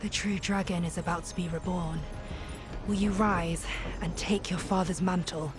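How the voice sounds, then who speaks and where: A woman's voice: fairly low pitch, steady tone, moderate pace, natural voice. An actress playing a solemn, authoritative woman in a video game, speaking formally and probingly over music. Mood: stately, probing. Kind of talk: dramatic video game voice acting. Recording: background music under the voice.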